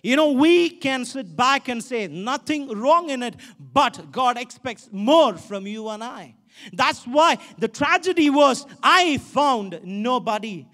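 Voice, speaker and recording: High-pitched Indian male preacher. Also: lively intonation, takes it slowly, pushed and bright. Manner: emphatic, exhortatory, repeats phrases for stress. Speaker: pastor. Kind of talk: sermon. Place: large stage in an indoor auditorium. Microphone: handheld microphone.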